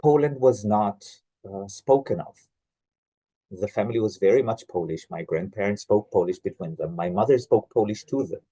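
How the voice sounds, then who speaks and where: A man from Israel, a genealogy researcher, giving an online presentation with many pauses and fillers. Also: on the low side, mellow, husky, dry recording, poor audio. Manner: hesitant, many "uh" fillers and restarts. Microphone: video call.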